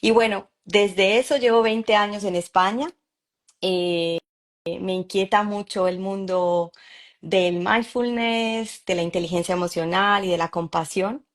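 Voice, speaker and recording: A woman's voice: mid-range voice, fairly even intonation, a little slow. Colombian woman telling a story. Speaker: psychologist guest. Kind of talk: conversational interview.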